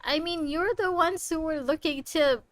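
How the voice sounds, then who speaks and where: A woman's voice: very high-pitched, normal speed, dry recording. A woman doing casual game playthrough commentary, playfully teasing and talking back to the characters on a close microphone. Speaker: game streamer.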